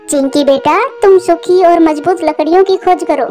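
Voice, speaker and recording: High-pitched cartoon mother bird, played by a woman, worried, tender, pleading, music behind. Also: moderate pace, warm tone. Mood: gentle.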